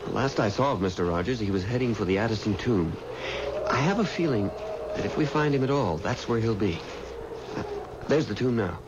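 An actor playing a determined, earnest undertaker's assistant in a 1970s radio drama. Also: male voice, on the low side, lively intonation, brisk, close to an everyday voice. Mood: resolute, worried. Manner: earnest, resolute. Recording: muffled, noisy old radio recording.

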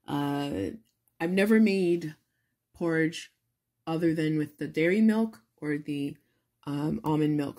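Low-voiced woman chatting through a cooking vlog. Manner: relaxed, chatty, with frequent ums. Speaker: author hosting a cooking video. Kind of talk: casual cooking vlog narration. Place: home kitchen.

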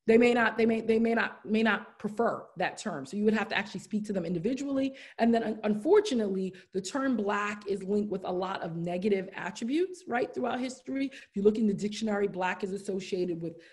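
A woman's voice: mid-range voice, fairly quick, few pauses. A woman in her thirties, raised in the United States, leading an online diversity training and answering chat questions in an earnest, encouraging way. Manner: earnest, warm, repeats "wonderful" and "right?". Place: indoors, room with brick wall. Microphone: laptop webcam, video call.

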